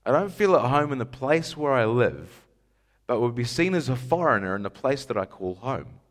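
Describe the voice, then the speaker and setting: A man's voice: medium pitch, sing-song, moderate pace. A man from Scotland, preaching a sermon in an emphatic style with rhetorical questions. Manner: emphatic rhetorical questions, rising to stressed lists.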